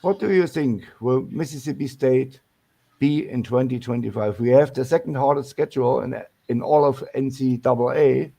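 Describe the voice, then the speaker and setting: A man with a German accent, older, talks in hesitant stop-start bursts. His voice has a gravelly fry to it. He is calling in for a casual chat about football. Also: medium pitch, warm tone. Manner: halting, many restarts and fillers. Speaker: caller.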